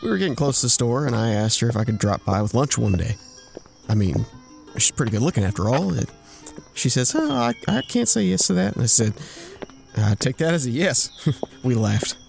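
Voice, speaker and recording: Casting: male actor as a folksy skirt-chaser for radio drama, lively swooping delivery, sly innuendo, music underneath. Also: medium pitch, a little fast, gravelly. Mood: sly, boastful. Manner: folksy, innuendo-laden, stumbling into self-corrections. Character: womanizing younger man, bashful braggart telling racy tales. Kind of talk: audio drama acting, anecdotal storytelling.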